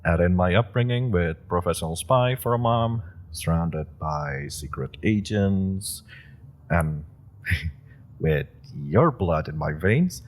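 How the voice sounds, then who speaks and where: A man's voice: low voice, lively intonation, takes it slowly. A man playing an eager, awkward young male super-powered rookie, rambling with nervous laughs and many fillers. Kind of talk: audio roleplay acting.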